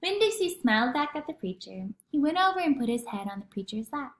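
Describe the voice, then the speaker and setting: High, animated woman reading in echoey room. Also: a little fast. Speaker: read-aloud narrator. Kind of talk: expressive children's storybook narration.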